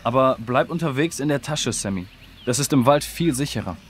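A man's voice: mid-range voice, animated, a little fast, a little hiss or hum, natural voice. An actor playing a friendly, cautious young male researcher in a children's audio drama. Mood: purposeful.

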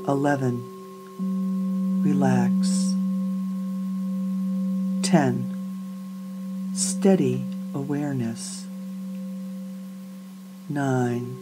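A woman guides a meditation at a very slow, unhurried pace. Her delivery is calm and soothing, with deliberate pauses between phrases. Prominent meditation music with ringing singing bowl tones plays behind her voice. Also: very deep voice, low-quality recording. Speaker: yoga nidra teacher and podcast host.

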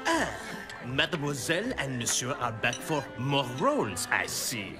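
A snooty cartoon maitre d' with a put-on French accent, his lofty voice swooping wildly from low drawls to shrill peaks. He sounds theatrical and superior. Music plays beneath him. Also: huge pitch swings, unhurried. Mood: smug. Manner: haughty and theatrical. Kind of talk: cartoon voice acting. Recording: music bed under dialogue.

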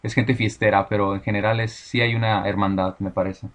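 A man's voice: fairly low pitch, level tone, brisk. A Mexican man being interviewed, speaking Spanish with a Mexican accent in a thoughtful, hesitant way, often restarting his words. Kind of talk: casual conversation.

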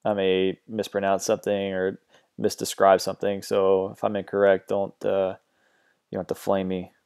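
A man talking through a software tutorial in a relaxed, hesitant way, with many ums and uhs as he describes settings. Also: low-pitched, clean tone. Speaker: music production tutor. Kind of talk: screen-recorded software tutorial.

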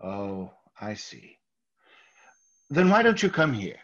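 A man playing a husky, overpolite, guilty murderer. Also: lowish voice, very animated, a bit bright, heavily acted. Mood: feigned calm. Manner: halting, over-courteous, stammering. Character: nervous, overpolite murderer hiding his guilt. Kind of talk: old-time radio theater acting. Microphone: video call. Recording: dull, lo-fi video call audio.

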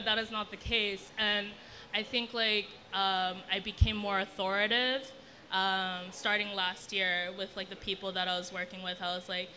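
Bright-voiced, irreverent young woman on a panel. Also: medium pitch. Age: young adult. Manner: candid, irreverent, sweary, breaks into laughter. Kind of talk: casual storytelling on a panel. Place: indoor event space with brick wall. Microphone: handheld event microphone.

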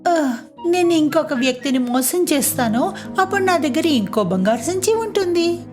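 Woman voicing a whiny, high-pitched lazy young girl. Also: animated, moderate pace, a little mellow, soft background music. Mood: scheming, eager. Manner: whiny, complaining, exclaiming. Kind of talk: children's cartoon story voice acting.

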